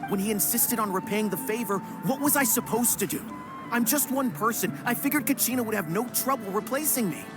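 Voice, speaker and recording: A woman's voice: on the high side, a little fast, close to an everyday voice. Woman as sheepish young warrior, music behind. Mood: defensive. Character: sheepish, defensive young team member making excuses. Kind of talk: anime game voice acting.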